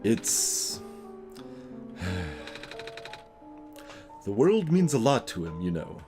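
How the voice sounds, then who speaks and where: A man acts out an earnest young inventor's child from a video game. The delivery is measured and a little hesitant, explaining gravely with very mobile pitch. Game music plays underneath. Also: big pitch swings, heavily acted. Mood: pleading, subdued. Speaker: let's play voice-over reader. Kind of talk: acted reading of game dialogue.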